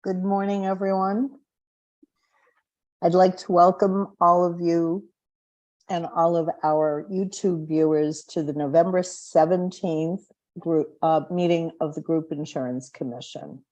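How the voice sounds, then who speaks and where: A woman serving as vice chair, formally opening and running a public commission meeting in a measured, slightly halting way. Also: lowish voice, fairly even intonation, a little mellow, dull audio.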